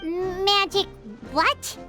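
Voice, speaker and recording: A woman voicing a tiny, floating female fairy companion in a game dub, eager, chatty and quick to react. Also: extremely high pitch, fairly even intonation, music in the background, heavily acted. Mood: curious. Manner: quick to react, curious, exclamatory. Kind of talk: video game dub acting.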